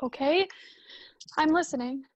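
A woman acting the part of Hannah's unsettled grown daughter and wife role in a radio drama, speaking haltingly. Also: high voice, no echo, muffled recording. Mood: bewildered, hesitant. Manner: hesitant, halting.

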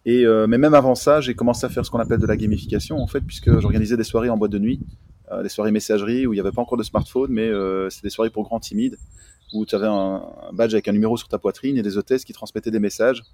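A man's voice: on the low side, steady tone, fast talker, dark tone, slight background noise. A man in his forties from Belgium, talking casually and explaining things as a podcast guest, with English marketing terms mixed in. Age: 40s. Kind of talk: casual interview talk. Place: outdoors, remote connection.

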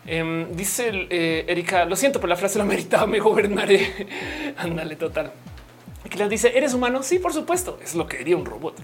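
A woman's voice: medium pitch, animated, fairly quick, soft background music. A young woman from Colombia who speaks, hosting a casual livestream, chatty and playful as she reads comments and laughs often. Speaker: livestream host. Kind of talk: casual live-stream chat reading comments.

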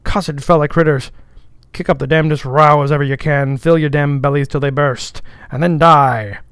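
A man's voice: medium pitch, fairly even intonation, normal speed, darkish tone, dry recording, studio quality, lightly acted. A man voicing a sullen, weary ship's cook in his nineties, grumbling in folksy dialect for an audiobook. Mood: scornful. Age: 90s. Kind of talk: audiobook character voice.

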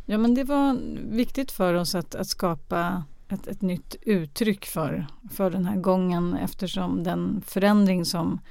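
A woman's voice: on the low side, creaky, hardly any pauses, dark tone, dry recording. A woman talking conversationally as a guest in a podcast interview. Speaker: studio guest from radio drama department.

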